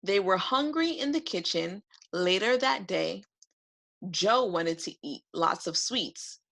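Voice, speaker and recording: A woman reading a children's picture book aloud for preschoolers in an expressive narrating style. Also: mid-range voice, slow pace, creaky. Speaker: read-aloud host.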